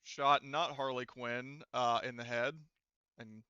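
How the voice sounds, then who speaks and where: A man's voice: mid-range voice, level tone, slow, sharp tone, clear, resonant tone, no echo, dull audio. A man speaking as game master, running a tabletop role-playing game and explaining rules and outcomes to players.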